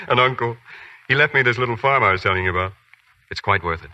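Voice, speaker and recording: An actor in a 1940s radio play voicing a tender young husband about to go off to war, speaking softly and dreamily with gentle jokes. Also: male voice, medium pitch, quick pace, no echo. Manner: soft, dreamy and gently joking. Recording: dull old radio broadcast.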